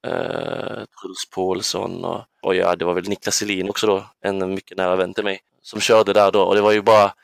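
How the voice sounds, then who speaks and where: A man's voice: low voice, level tone, creaky, hardly any pauses, no room sound, studio quality. A man in his twenties from southern Sweden, reminiscing casually as an interview guest in a hesitant, thoughtful way. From Ängelholm, southern Sweden. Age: 20s. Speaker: podcast guest, basketball player.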